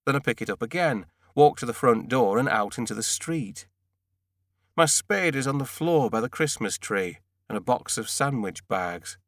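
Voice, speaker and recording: A man reading a first-person novel as an audiobook narrator, measured and deadpan. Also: medium pitch, no room sound, clean studio sound.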